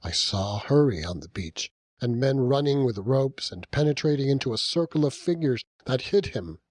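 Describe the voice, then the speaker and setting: A man narrating a classic novel as an audiobook, in a measured, dramatic storytelling manner with deliberate pauses. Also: mid-range voice, lively intonation, a little mellow, no room sound, clean studio sound. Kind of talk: scripted literary narration.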